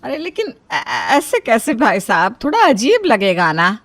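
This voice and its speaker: A woman playing the worried, practical middle-aged mother of a bride in an animated Hindi story, coaxing and exasperated. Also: a little high, unhurried, full character voice. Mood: polite, flustered.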